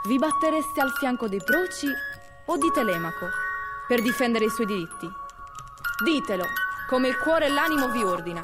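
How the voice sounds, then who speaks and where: A woman's voice: a little high, close to an everyday voice. A woman playing a lyrical goddess narrator who addresses her listeners in a declamatory, poetic way in an audio drama. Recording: loud music and chimes behind voice.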